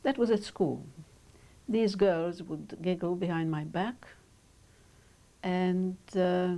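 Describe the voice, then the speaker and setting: An older woman whose first language is Hungarian, speaking in measured, reflective sentences with pauses as she is interviewed about her childhood. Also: fairly low pitch, dark tone. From Romania. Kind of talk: oral history interview.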